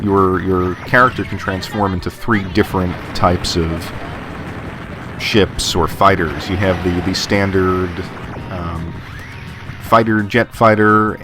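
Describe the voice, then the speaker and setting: A man doing a casual voice-over review of old console games, thinking aloud with fillers and restarts. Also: low voice. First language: English. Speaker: game reviewer. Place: voice-over over game footage.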